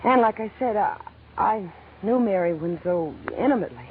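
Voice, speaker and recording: Actress as guarded, streetwise club singer, tinny old radio. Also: female voice, mid-range voice, lively intonation, moderate pace, close to an everyday voice. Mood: guarded, pleading. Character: evasive nightclub blues singer woman on the run, guarded. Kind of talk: 1940s radio acting. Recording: narrow, noisy old radio recording.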